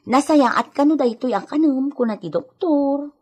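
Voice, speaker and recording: A woman's voice: high-pitched, normal speed, a little mellow, lightly acted. A woman acting an eager, coaxing female helper who tends a sick lady in an Ilocano radio drama. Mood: caring, coaxing. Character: Edita, caring chatty female helper tending a sick lady. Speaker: voice actor.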